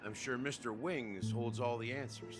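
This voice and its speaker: An actor playing a sly, worldly schemer in video game dialogue, telling things in a wry, theatrical, measured way. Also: male voice, medium pitch, fairly even intonation, over loud music, natural voice. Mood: wry, knowing. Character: sly, worldly male schemer recounting dark conspiracies.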